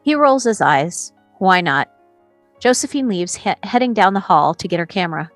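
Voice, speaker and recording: A woman's voice: medium pitch, animated, normal speed, no room sound, faint music behind. A woman reading stage directions as the narrator of a screenplay.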